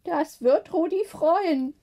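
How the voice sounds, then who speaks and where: Woman playing a high-voiced, animated old lady. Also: takes it slowly, heavily acted. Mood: amused. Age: older. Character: lively, confident elderly lady neighbour. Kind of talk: acted audiobook dialogue.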